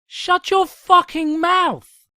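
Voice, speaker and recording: A woman's voice: extremely high pitch, fairly even intonation, drawn-out words, sharp tone, no echo, clean studio sound, full character voice. A woman voicing a hot-tempered, indignant young female pony in an acted fan fiction reading. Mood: furious. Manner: indignant, exasperated, emphatic.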